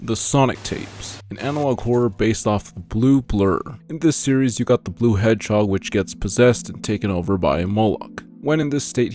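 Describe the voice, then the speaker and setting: A man's voice: on the low side, darkish tone. A man reading scripted narration for an explainer video.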